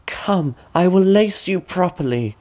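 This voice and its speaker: A man plays a sly old peddler woman from a fairy tale. The voice is pitched high and coaxing, stretching out its patter like a hawker at the door. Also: expressive, a little slow, muffled recording. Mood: wheedling.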